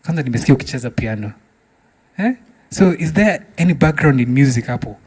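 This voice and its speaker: A man's voice: mid-range voice, animated, fast talker, darkish tone, slightly rough. A man with a Kenyan accent hosting a music talk show in casual, bantering style with exclaiming reactions. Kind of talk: casual bantering interview, switching between English and Swahili.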